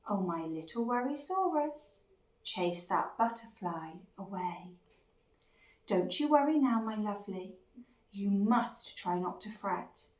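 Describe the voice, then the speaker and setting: A gentle mother dinosaur from a picture book, read by a woman offers soothing reassurance. Her tender delivery is unhurried, in an echoey space a little away from the microphone. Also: mid-range voice, animated. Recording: echoey room, distant microphone.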